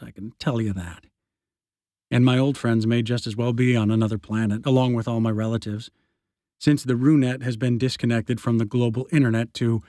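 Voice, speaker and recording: A man's voice: mid-range voice, darkish tone, dry recording. A man narrates a first-person story for an audiobook. His delivery is wry and conversational, with a sarcastic edge. His voice sits in a middle register, and the recording is close and dry.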